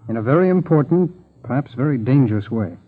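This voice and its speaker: An actor playing an earnest American FBI agent in a 1940s radio drama. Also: male voice, medium pitch, expressive, fast, slightly rough. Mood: earnest, grave. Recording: thin, phone-like 1940s radio recording.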